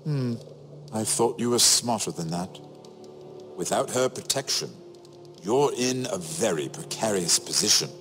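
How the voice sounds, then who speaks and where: An actor playing a wry, shrewd middle-aged imprisoned nobleman in a video game, sardonic and theatrical, phrasing each remark deliberately. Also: fairly low pitch, lively intonation. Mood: dry, cautioning. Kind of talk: dramatic video game dialogue. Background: music.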